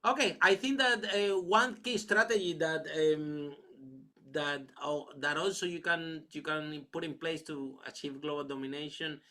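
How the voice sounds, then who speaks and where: A man's voice: a little high, fairly even intonation, a little slow, pushed and bright. A man from Cuba with a Cuban Spanish accent, hesitant with fillers, answering a question as a panel speaker. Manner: hesitant, with many fillers and restarts.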